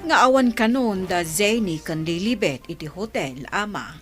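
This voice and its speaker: A woman's voice: mid-range voice, expressive, moderate pace, fairly bright tone, faint music behind, lightly acted. A woman playing a concerned, respectful woman talking to an elder in an audio drama, with an Ilocano Filipino accent. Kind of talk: amateur audio drama acting.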